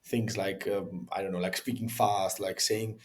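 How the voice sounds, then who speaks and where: A man's voice: deep voice, little pitch movement, measured pace. A man talking casually as a podcast co-host, hesitant and searching for words. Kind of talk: casual chat.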